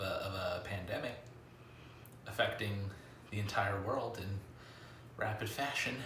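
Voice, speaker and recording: A man hosting a casual comic book review vlog, talking haltingly with pauses mid-sentence and wry, self-aware asides. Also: lowish voice. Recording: echoey room, music underneath.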